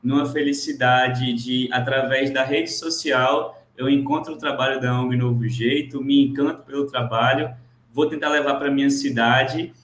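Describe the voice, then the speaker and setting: A man's voice: medium pitch, steady tone, moderate pace, a bit bright. Man in his twenties, Bahian accent, roomy call. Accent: Bahian and Pernambucan Portuguese. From interior of Bahia, Brazil. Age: 20s. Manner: earnest, with many "é" fillers. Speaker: social entrepreneur guest. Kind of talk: casual self-introduction on a video call. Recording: roomy sound with faint background noise.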